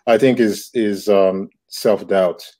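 A man's voice: fairly low pitch, steady tone, slow, warm tone. A man in his thirties from Nigeria, a comic book creator answering interview questions in a reflective way, with many fillers and restarts. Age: 30s. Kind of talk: casual interview talk.

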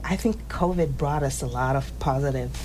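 A woman born in Haiti and raised in Montreal, telling her story as a guest in a casual interview, animated and often restarting her sentences. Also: a bass voice, a little fast, slight background noise. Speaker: podcast guest.

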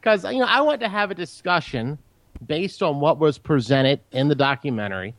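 A man's voice: fairly high pitch, expressive, normal speed, a bit bright, no room sound. Nasal Maryland man, dry sound. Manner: earnest, argumentative, eager to debate. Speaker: co-host. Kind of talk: casual podcast chat.

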